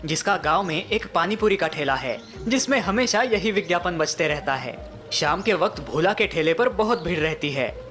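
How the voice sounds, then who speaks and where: A woman's voice: lowish voice, lively intonation, moderate pace, a bit bright, soft background music. A woman giving scripted narration of a children's moral story.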